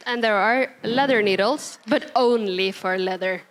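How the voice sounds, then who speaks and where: High-voiced woman co-presenter, casual, jumps in with short remarks. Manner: chimes in with short quick additions. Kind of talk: casual workshop talk.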